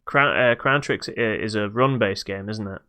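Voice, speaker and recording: A man's voice: lowish voice, moderate pace, bright, forceful tone, smooth. A man chatting casually about video games as a podcast host.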